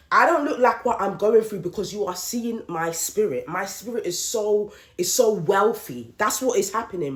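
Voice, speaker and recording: A woman in her late thirties talks straight into the mic in an emphatic, repetitive style that piles point upon point. Her voice sits at an ordinary middle pitch, and the recording sounds roomy and echoey. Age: late 30s. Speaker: podcast host. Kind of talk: candid solo monologue.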